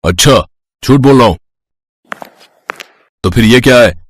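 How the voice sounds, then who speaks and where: A man's voice: mid-range voice, expressive, unhurried, slightly rough. A man playing a greedy, domineering elder brother in a storybook tale, curt and taunting. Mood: gloating, harsh.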